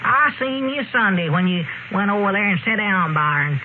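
A man's voice: high voice, lively intonation, brisk. A man playing an elderly, skeptical backwoods storekeeper with a rural Southern accent and folksy talk, in radio comedy style. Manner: folksy, drawling country talk. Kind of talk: 1930s-40s radio comedy acting. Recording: old radio broadcast, narrow band, noisy.

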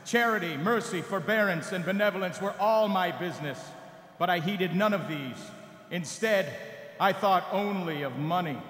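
A man's voice: sits high, moderate pace, a bit bright. An actor playing the mournful ghost of a dead businessman giving solemn, declamatory warnings in a radio play. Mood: mournful, urgent. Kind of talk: staged radio play reading. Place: on stage in a large theatre. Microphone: stand microphone. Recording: echoey theatre PA, long reverb.

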